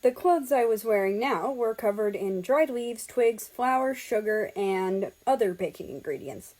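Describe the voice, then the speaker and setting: A woman narrates a piece of amateur fiction in a medium-pitched voice. She reads it aloud as a storyteller, in the manner of a homemade audiobook. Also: dark tone, low-quality recording. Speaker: audiobook-style reader of fan fiction.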